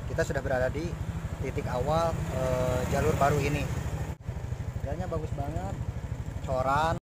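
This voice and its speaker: A man's voice: mid-range voice, moderate pace, heavy background noise. A man, chatting casually as a motovlogger while riding a scooter outdoors, recorded on a helmet camera. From Cianjur, West Java, Indonesia. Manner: relaxed, chatty, excited on steep climbs. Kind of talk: casual motorcycle vlog narration. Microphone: helmet-mounted action camera. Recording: loud music bed under the voice.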